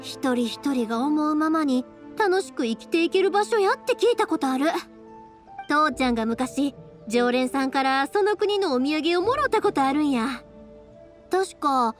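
A cheerful young woman from an anime game. She speaks high with big, lively swings and a Kansai accent, friendly and kind, with music playing underneath. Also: moderate pace. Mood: chatty, curious. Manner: friendly, expressive, chatty. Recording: studio voice over game music.

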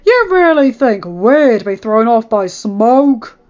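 A woman voicing a gruff male gang leader who gives orders, in a narrated story. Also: mid-range voice, level tone, moderate pace, a little mellow, lo-fi sound, close to an everyday voice. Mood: fierce. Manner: barking, growling orders.

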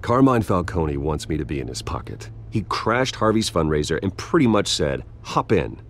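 A man's voice: low voice, big pitch swings, normal speed. An actor playing a guarded, brooding wealthy man in a serious video game drama. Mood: wary.

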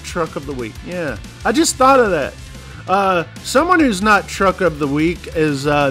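High, bright-voiced joking host. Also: male voice. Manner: joking, rambling, folksy. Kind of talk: casual commentary over clips.

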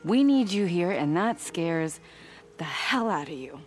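A frank survivor woman in a video game. Her voice sits a little low and she speaks in a direct, challenging way. Faint music runs behind her. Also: very animated, measured pace. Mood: pleading, frank. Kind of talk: naturalistic video game acting.

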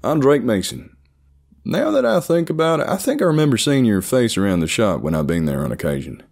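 Male narrator as friendly country coworker, unnaturally upbeat, higher-pitched, lively swings. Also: brisk, vocal fry. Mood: cheerful, friendly. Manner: chatty, unnaturally cheerful. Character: overly joyous, friendly country man, a coworker.

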